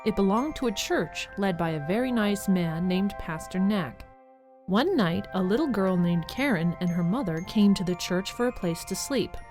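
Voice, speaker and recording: A woman tells a scripted children's story as a radio narrator. Her voice is mid-pitched, and faint music plays behind her. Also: lively intonation, normal speed, darkish tone.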